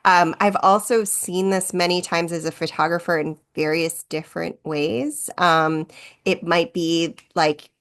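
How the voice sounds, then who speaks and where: A woman in her thirties chats on a podcast in a lowish voice. She rambles in a friendly, self-deprecating way, with plenty of "like" and "um" fillers. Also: fairly even intonation, unhurried, fairly bright tone. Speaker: podcast co-host and wedding photographer. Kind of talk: casual podcast chat.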